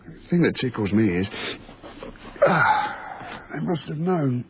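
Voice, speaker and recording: An actor playing a British railway tunnel night worker in a radio drama, talking in a matey, musing way. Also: male voice, mid-range voice, big pitch swings, slow pace. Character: chatty, anecdote-loving British railway tunnel night worker, uneasily fascinated. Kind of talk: naturalistic radio drama acting. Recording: lo-fi phone-like radio recording, clearly noisy.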